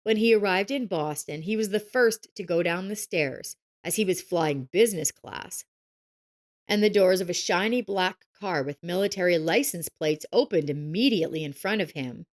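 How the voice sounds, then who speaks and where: A woman's voice: lowish voice, normal speed. A woman narrator reading a scripted story.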